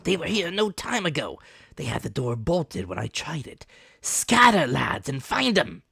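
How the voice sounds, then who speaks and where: Blind old pirate villain , acted by a male reader: raised, shouted pitch, commanding, vicious, storybook adventure. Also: big pitch swings, measured pace, vocal fry, a little hiss or hum, heavily acted. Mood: vengeful, urgent. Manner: shouting, barking orders. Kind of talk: dramatic audiobook character voice.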